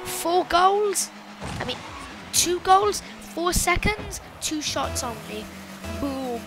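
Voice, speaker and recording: Woman, high voice, loud and excitable gameplay commentator, game music underneath. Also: slow pace. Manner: loud, excitable, exclaims often.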